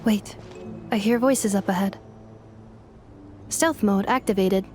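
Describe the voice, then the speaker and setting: An actress playing a gentle, tentative female companion in a video game. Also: mid-range voice, slow, faint music behind, natural voice. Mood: calm, tentative.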